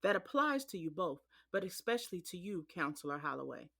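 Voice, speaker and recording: An actress playing a stern female judge who lays down courtroom rules in a firm, measured voice. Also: fairly low pitch, sing-song, gravelly, pushed and bright, slightly rough, heavily acted. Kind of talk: audio drama acting.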